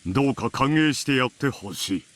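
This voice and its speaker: A male actor as a dignified, middle-aged head of a household in a visual novel game. He is formal and commanding, with a medium-pitched voice and quick delivery. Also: animated, fairly bright tone, natural voice. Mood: formal, authoritative.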